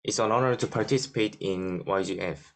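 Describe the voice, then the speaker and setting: Man, 20s, Korean accent, low-ish voice, prepared student presentation, uh fillers. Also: gravelly, a bit bright. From South Korea. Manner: reads slowly with frequent uh fillers.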